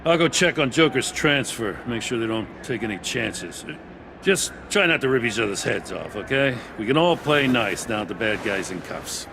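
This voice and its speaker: Seasoned video game police chief, wry and gravelly, lively intonation, city noise behind him. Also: male voice, mid-range voice, normal speed. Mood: dry, cautioning.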